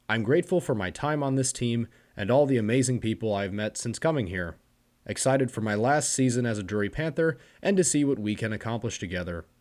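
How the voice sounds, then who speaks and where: A man's voice: medium pitch, darkish tone. A college-age man reading a scripted podcast narration as host. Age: college-age young adult. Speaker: podcast host, swim team senior captain.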